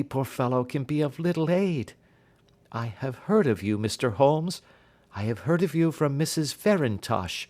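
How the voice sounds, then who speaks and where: A man's voice: mid-range voice, darkish tone, lightly acted. Guy reading as a scared young victorian lady, pleading and proper, audiobook style. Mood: desperate, pleading. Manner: anxious, pleading, formal Victorian speech. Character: frightened, desperate young gentlewoman pleading for help. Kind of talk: audiobook character voice.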